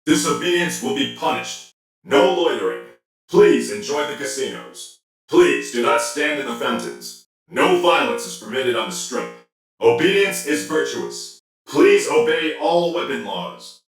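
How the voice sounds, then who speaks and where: A man's voice: a little high, animated, a little slow. A man playing a stern, officious robot policeman in a video game, speaking in clipped formal announcements through robotic processing. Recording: echoing, distant, music underneath.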